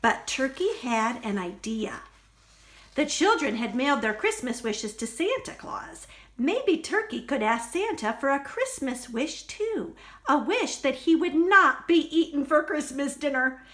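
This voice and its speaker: Female children's storyteller: high, bright voice, emphatic and expressive, reading a picture book aloud. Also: unhurried. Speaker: librarian storyteller.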